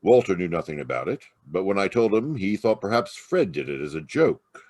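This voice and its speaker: An actor playing a brave, steady Mountie sergeant in an old-time radio adventure, authoritative and reassuring. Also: male voice, sits low, a little fast, no echo. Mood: commanding, reassuring. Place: remote online performance from a close mic.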